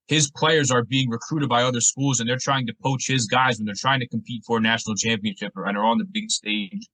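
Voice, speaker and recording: A man's voice: mid-range voice, fairly even intonation, brisk, bright, forceful tone, no room sound, poor audio. A man hosting a sports podcast, asking conversational interview questions with long, detailed lead-ins.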